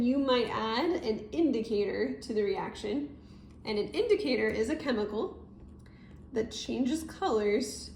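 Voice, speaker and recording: The roomy recording has clear background noise. A woman with a fairly high voice teaches in a casual, explanatory way. She goes step by step, as in an informal tutorial at a desk. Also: a little mellow. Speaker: chemistry teacher. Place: indoor room, at a desk over paper.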